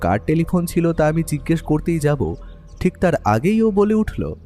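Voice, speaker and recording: Brief: a man playing a first-person narrator in a radio drama, mellow voice rising and falling, long reverb over soft music. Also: fairly high pitch, moderate pace. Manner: lively, expressive storytelling. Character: the detective's male companion and writer, first-person narrator. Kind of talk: storytelling narration in an audio drama.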